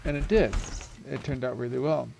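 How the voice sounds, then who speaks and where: Gravelly-voiced young man for a casual game walkthrough, chatty and rambling, headset mic with faint hiss. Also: medium pitch, fairly even intonation, fairly quick. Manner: rambling, self-correcting, chatty with many ums. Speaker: gaming video creator.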